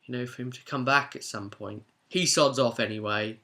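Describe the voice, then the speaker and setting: A man with a British accent hosting a casual spoken recap of a tabletop role-play session as game master, telling it like a chatty, animated storyteller. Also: mid-range voice, moderate pace.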